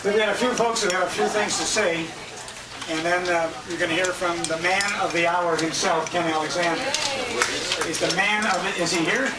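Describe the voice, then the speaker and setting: A man's voice: high voice, steady tone, normal speed, a loud crowd. A man speaking informally as emcee to banquet guests, jovial and folksy, with some hesitation, recorded from a distance on a handheld camera in a restaurant. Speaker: emcee and radio host. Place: restaurant banquet room, indoors. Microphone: handheld compact camera at a distance. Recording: noisy echoey dining room, distant handheld camera mic. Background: loud diners, clattering dishes and cutlery.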